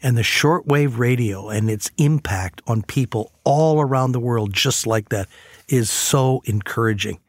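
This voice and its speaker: A man with a mid-pitched voice tells stories in an earnest, reflective way. He sounds like a conversational radio host, recorded dry and close. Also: a little slow, no room sound. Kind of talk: conversational storytelling on a faith talk show.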